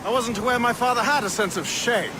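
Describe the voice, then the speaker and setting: A haughty grandmaster, high for a man, dry and formal with cool disdain. The game dialogue sits in clearly audible background noise from an outdoor scene. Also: quick pace. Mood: disdainful. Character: haughty, cool-headed male Templar grandmaster. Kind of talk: dramatic video game acting.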